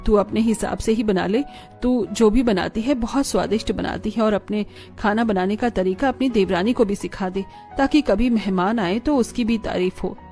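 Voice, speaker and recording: A woman's voice: medium pitch, moderate pace, warm tone, soft background music, lightly acted. A woman voicing an older, bossy but fond mother-in-law in a cartoon family drama. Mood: approving.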